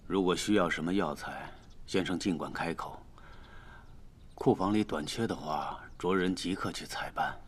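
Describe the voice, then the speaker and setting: An actor playing a calculating, fatherly duke nearing fifty in a Chinese period drama, speaking with measured authority. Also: deep voice, husky. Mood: courteous. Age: middle-aged.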